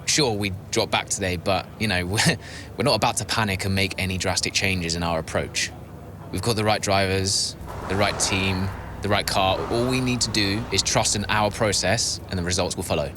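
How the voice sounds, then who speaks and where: An actor playing a loyal, steady racing driver in a video game drama, speaking in a measured, hesitant, earnest way. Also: male voice, deep voice, natural voice. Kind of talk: naturalistic video game cutscene acting. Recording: clear background ambience noise.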